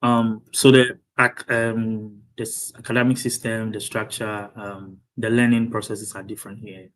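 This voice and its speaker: A young man with a Ghanaian accent, a doctoral student being interviewed over a video call, speaking thoughtfully with many pauses and ums. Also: lowish voice, little pitch movement, a bit bright, a little hiss or hum, low-quality recording.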